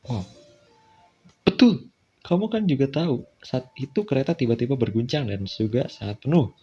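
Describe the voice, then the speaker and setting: A man's voice: medium pitch, lively intonation, moderate pace, mellow, husky. An Indonesian man voicing a flustered, apologetic young man in a visual novel, pleading and defensive. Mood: nervous, defensive. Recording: dull lo-fi mic, music behind.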